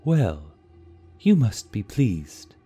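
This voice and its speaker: A storyteller puts on a stern warden and swordsman, formal and sure of himself. His lines come slow and deep, slightly raised and firmer than the narration. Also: very animated, warm tone, husky, heavily acted.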